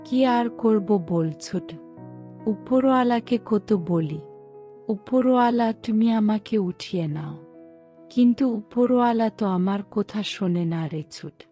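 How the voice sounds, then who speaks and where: A woman voicing a weak, bedridden, gentle mother in a cartoon, pleading with sad resignation. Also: mid-range voice, slow pace, faint music behind, close to an everyday voice. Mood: resigned sorrow. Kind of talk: cartoon voice acting.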